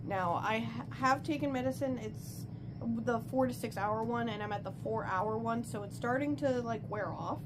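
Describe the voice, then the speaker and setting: A woman's voice: fairly high pitch, normal speed, noisy background. A woman with a stuffy nose from a cold, chatting casually and rambling as she works on a craft at home. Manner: chatty, rambling, self-interrupting. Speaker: crafting vlogger. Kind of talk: casual talk while crafting.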